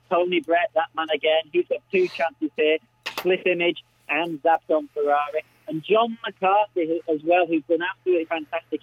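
A man's voice: on the high side, no echo. A man with an Australian accent giving conversational racing tips in a matey, enthusiastic way over a phone line. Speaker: greyhound racing tipster. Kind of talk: conversational racing preview commentary.

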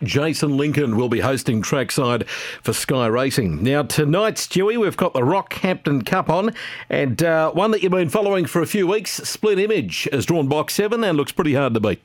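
Australian male, radio racing host, slightly high register, conversational preview delivery with a studio guest. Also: animated, normal speed. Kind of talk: sports preview chat with a guest.

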